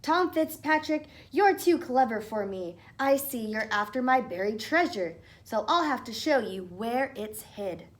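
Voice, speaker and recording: A woman voicing a sly, crabby, tiny old leprechaun man in a storybook, with a sharp, scolding manner. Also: fairly high pitch, lively intonation, measured pace, hardly any pauses. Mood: grudging.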